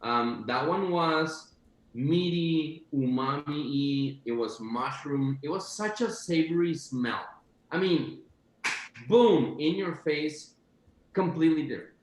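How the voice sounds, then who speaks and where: A man's voice: on the high side, lively intonation, slow pace, roomy sound, slight background noise, poor audio. A man from Costa Rica talking casually and with enthusiasm, describing food with many fillers. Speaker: chef guest. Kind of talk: casual video-call chat.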